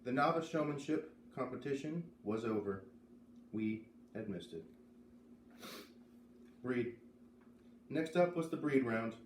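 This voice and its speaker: A man's voice: medium pitch, expressive, slow pace, pauses often. Man reading a story aloud, echoey room. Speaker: reader of a children's novel aloud. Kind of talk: expressive storybook read-aloud narration. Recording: roomy, distant mic, faint noise.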